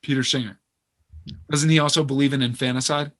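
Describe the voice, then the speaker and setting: A guarded, earnest man of privilege as acted by a man in a two-hander play. His voice sits at an ordinary middle pitch. He speaks hesitantly and casually, uneasy about his own principles. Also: fairly even intonation, a little fast, a bit bright, natural voice. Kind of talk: naturalistic stage dialogue.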